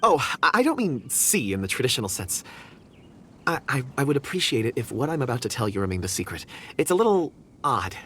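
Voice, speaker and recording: Modest young man, mid-pitched, lively intonation, courteous, earnest, video game acting, faint ambience. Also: a little fast, slight background noise. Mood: hesitant. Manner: hesitant, polite, earnest.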